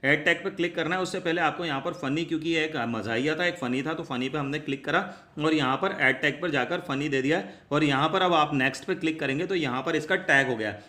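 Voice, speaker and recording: A man's voice: on the high side, fast talker, few pauses, sharp tone. A man presenting a step-by-step app tutorial in a chatty, repetitive, explanatory way.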